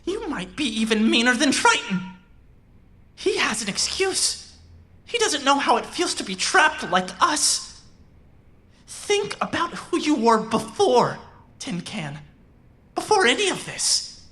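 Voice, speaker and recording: A woman's voice: sits high, very animated, slow pace, pauses often, a bit bright, heavily acted. A woman playing an intense young robot who was born human, pleading and menacing, with an emphatic, pressing way of talking in an audio drama. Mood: quiet threat. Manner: emphatic, repetitive, emotionally pressing.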